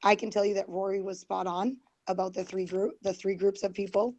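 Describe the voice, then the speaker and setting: Dark-voiced woman losing her voice. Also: mid-range voice, flat delivery, fairly quick, no echo, highs cut off. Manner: rambling, defensive, many fillers and restarts. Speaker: guest. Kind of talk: heated online group call.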